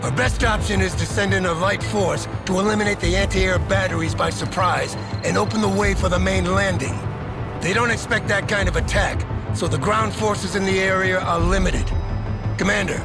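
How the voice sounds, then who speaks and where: High-pitched, commanding military general. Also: male voice, steady tone, normal speed, over loud music. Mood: briefing, resolute. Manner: commanding, emphatic. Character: gung-ho, authoritative American general giving battle orders. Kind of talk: military strategy game voice acting.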